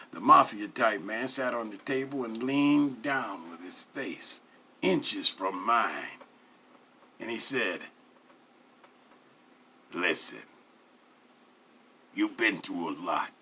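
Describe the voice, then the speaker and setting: Slow, expressive, gravelly male storyteller. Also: lowish voice, slight background noise. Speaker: story reader. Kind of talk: dramatic narration of a first-person memoir.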